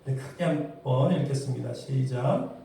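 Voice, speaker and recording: A man's voice: medium pitch, moderate pace, gravelly, dark tone, a little hiss or hum. A man preaching a sermon, putting rhetorical questions to his congregation. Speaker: pastor preaching. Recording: very echoey hall, distant mic.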